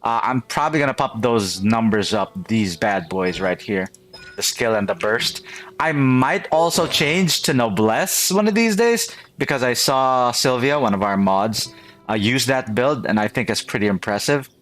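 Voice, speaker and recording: A man's voice: medium pitch, lively intonation, normal speed, a bit bright, soft background music, poor audio. A man chatting casually to viewers as a game streamer, rambling and often repeating his phrases. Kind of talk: casual livestream chat to viewers.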